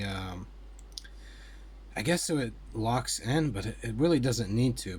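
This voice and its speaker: A man giving a casual hands-on toy review in a rambling, hesitant, conversational way. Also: fairly low pitch. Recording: clear steady background noise.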